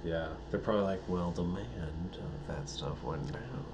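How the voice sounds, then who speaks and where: A man's voice: low-pitched, measured pace, background noise. A man in his thirties co-hosting a podcast, joking and teasing in casual, crude chat. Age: 30s. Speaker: podcast co-host.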